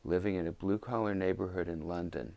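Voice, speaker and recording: A man's voice: sits low, fairly even intonation, fairly quick, vocal fry, darkish tone. A man interviewing in a conversational way, with a wry, teasing manner.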